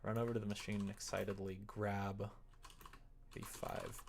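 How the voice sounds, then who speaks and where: A man's voice: lowish voice, level tone, moderate pace, vocal fry, a little hiss or hum. A man casually livestreaming as he works on a visual novel, rambling and muttering to himself with joking asides. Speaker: live streamer developing a visual novel. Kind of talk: casual livestream chat while working.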